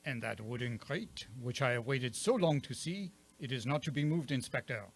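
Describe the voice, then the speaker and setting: A courtly detective with a French accent in a radio mystery. He is self-assured and theatrical, laying out each point with precise relish in a medium-pitched voice. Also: expressive, normal speed. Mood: triumphant. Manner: precise, theatrical, savoring his explanations. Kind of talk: radio mystery play acting.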